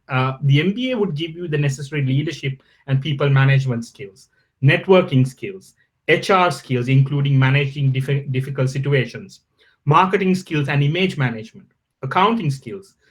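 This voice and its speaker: A man from Sri Lanka, giving a polite, measured guest lecture over a video call. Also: on the high side. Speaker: guest professor. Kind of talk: online lecture.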